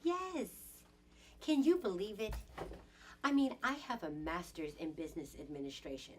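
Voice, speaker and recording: A woman's voice: mid-range voice, normal speed, noisy background, close to an everyday voice. A woman performing an improvised dramatic monologue as an indignant, sassy businesswoman confiding in a girlfriend, with theatrical emphasis.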